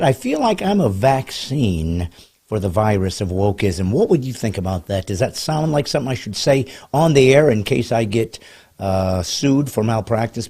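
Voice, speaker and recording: A middle-aged man hosting a comedy podcast, joking with sarcasm and a theatrical manner. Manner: wisecracking, theatrical and sarcastic, with big swings in pitch and loudness.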